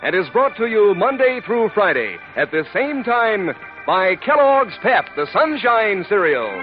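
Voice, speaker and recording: A man's voice: sits high, very animated, unhurried, loud music behind the voice. A man reading scripted 1940s radio advertising as an announcer, folksy and upbeat, on a dull old recording.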